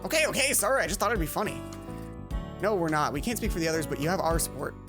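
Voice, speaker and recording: A woman's voice: lowish voice, big pitch swings, fast, over music. A woman voicing awkward, apologetic teenage schoolboys in a visual novel, hesitant and pleading in manner.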